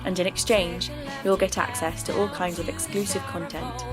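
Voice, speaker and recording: A woman playing a reflective podcast host, reading scripted narration in a measured, confiding way. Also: lowish voice, little pitch movement, lightly acted. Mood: friendly, measured. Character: reflective female podcast host investigating folklore mysteries. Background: music.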